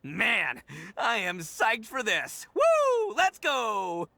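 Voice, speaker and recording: A man's voice: sits high, wildly swooping pitch, very slow, gravelly, pushed and bright, full character voice. An American man voicing a boisterous, cocky young male oni in a video game, with brash, swaggering, slangy delivery. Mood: nervous, then pumped. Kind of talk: animated video game voice acting.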